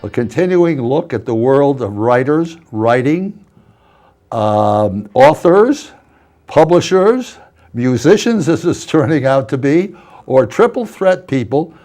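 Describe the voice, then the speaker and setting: An older man hosting a TV interview in a small studio on a lapel mic, chatty and halting, with many restarts. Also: mid-range voice, very animated, warm tone. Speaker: talk show host.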